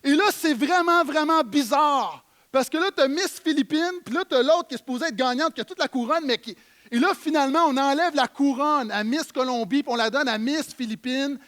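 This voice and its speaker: A man with a Québécois French accent preaching a sermon in church, emphatic, swinging between loud stresses and quiet low lines. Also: moderate pace. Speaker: pastor.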